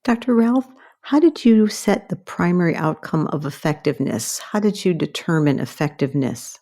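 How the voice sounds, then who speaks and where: Low, mellow-voiced American woman hosting. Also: animated, moderate pace. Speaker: podcast host and medical journal editor. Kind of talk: formal interview hosting.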